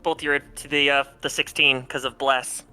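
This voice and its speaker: Tabletop player, bright mid-pitched voice, halting casual game talk, faint music underneath. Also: level tone, clear, resonant tone. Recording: music behind the voice. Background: background music.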